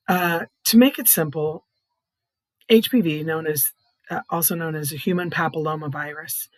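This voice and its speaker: A middle-aged or older woman with a soft, hoarse, breathy voice, giving an earnest personal testimony in plain, explanatory words. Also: sits low, dry recording. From Iowa, United States. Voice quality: soft, hoarse, breathy voice from vocal cord growths. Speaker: patient advocate, child care nurse consultant.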